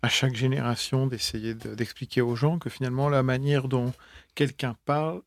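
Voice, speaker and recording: A man linguist answering interview questions in a halting way, with many filler pauses. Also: mid-range voice, fairly even intonation. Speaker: linguist guest.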